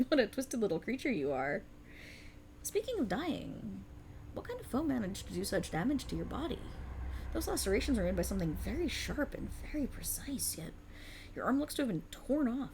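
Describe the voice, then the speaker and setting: A woman performs a cruel, seductive otherworldly patron for an ASMR fantasy roleplay. The voice sits fairly high and crackles with vocal fry as it mocks and condescends in a theatrical, teasing way. There is faint noise behind it. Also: sing-song, moderate pace, darkish tone. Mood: mocking, amused. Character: sultry, cruel, mocking otherworldly warlock patron, gloating over a dying servant.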